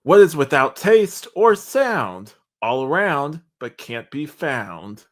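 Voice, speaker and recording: A man's voice: a little high, very animated, takes it slowly. Man putting on a theatrical, riddling comic-book villain. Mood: menacing, taunting.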